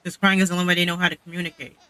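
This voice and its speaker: Very bright, high-voiced man commenting. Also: completely flat delivery, very fast, low-quality recording. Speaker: game streamer. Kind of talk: casual gameplay commentary.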